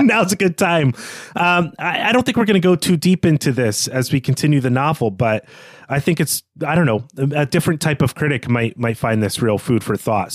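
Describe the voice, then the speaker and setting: A man's voice: mid-range voice, no echo. A man co-hosting a podcast, casually discussing literature and thinking aloud in a tentative way. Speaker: podcast co-host.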